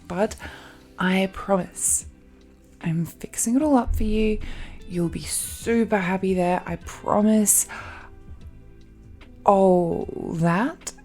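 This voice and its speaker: A woman acts out a young dragon girl, giddy to be engaged and possessively fond of her partner. She speaks very slowly in a soft, mellow voice, breathy and hesitant with a tender, giggly lilt. It is an intimate romantic audio drama with music behind her. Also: medium pitch. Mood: tender, nervous.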